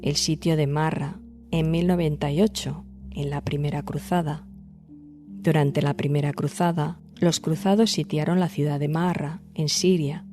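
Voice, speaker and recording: A woman hosting and narrating a scripted storytelling podcast, addressing listeners directly in measured phrasing. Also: deep voice, steady tone, warm tone. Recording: music behind the voice. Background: background music.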